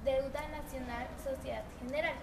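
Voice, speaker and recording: High-pitched lady presenting a history doc, reads earnest, trips a bit, lots of noise behind. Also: fairly even intonation, vocal fry. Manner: earnest, slightly halting with small restarts. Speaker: documentary presenter. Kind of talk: reading a school history presentation. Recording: noisy homemade recording.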